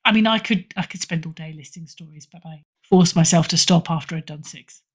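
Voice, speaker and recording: A woman's voice: lowish voice, brisk. A British woman chatting casually as a podcast guest, often correcting herself and repeating phrases for emphasis. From Britain. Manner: hesitant, self-correcting, emphatic repetition. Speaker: podcast guest, horror fiction editor. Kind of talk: casual interview chat, recommending stories.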